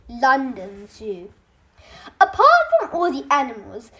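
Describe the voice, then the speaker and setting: A storytime reader narrating a children's book aloud, haltingly, with stumbles and self-corrections. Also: high-pitched, a bit bright. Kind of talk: children's audiobook reading, scripted narration.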